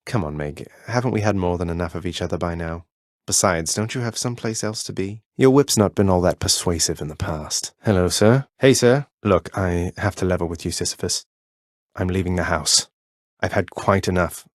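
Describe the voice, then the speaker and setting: A man's voice: deep voice, no room sound, natural voice. A male actor playing a wry, confident young underworld prince in a video game, with a dry, understated, cocky way of speaking. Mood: wry, polite. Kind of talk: short in-game voice lines.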